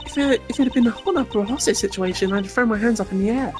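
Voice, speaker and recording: Female actor for unlucky, polite video game guard, fast-talking and self-deprecating, raised pitch, over strong music. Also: close to an everyday voice. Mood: grateful, chatty. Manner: chatty, deferential. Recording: loud music under the voice.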